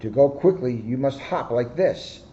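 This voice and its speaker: A man voicing an eager, bossy female rabbit who coaches excitedly. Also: mid-range voice, measured pace, lo-fi sound, slight background noise, lightly acted. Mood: coaching.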